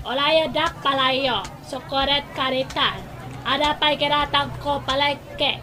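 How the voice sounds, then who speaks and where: A woman acts as an insistent passer-by in an audio drama. Her voice is very high, and she repeats short, clipped phrases. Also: fairly even intonation, unhurried.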